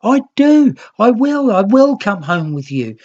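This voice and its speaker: A woman's voice: fairly high pitch, sing-song, measured pace, a little mellow, lo-fi sound, voice pushed up. A woman voicing an earnest, plucky young red nix creature, hungry and plaintive, in a children's storybook. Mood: brave, excited.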